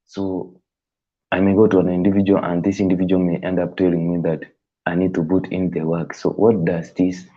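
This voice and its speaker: A man whose first language is not English, hosting a live stream in casual, halting talk that rephrases as he thinks aloud. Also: low voice, dark tone, dull audio.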